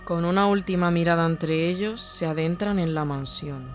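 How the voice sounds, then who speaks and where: A man narrating scripted horror fiction in a measured, atmospheric way. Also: on the high side, faint music behind.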